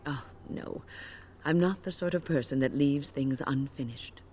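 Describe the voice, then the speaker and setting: An actress playing an older woman mage in a game, speaking in a measured, calm, wry way, tired and dutiful. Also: a bass voice, a little hiss or hum. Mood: earnest.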